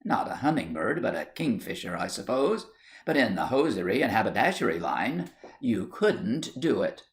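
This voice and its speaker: A man's voice: on the low side, very animated, normal speed. A man voicing a placid, stolid husband in a storybook tale, dry and matter-of-fact. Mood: dryly dismissive.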